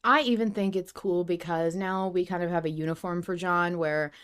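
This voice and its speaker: Podcast co-host, female, slightly low register; casual, enthusiastic, unfiltered talk with some swearing. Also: steady tone, moderate pace, fairly bright tone, clear, resonant tone.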